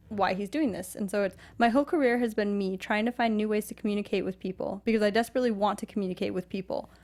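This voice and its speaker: A woman's voice: mid-range voice, a little mellow. A woman co-hosting a talk show, chatting casually as she reviews a film.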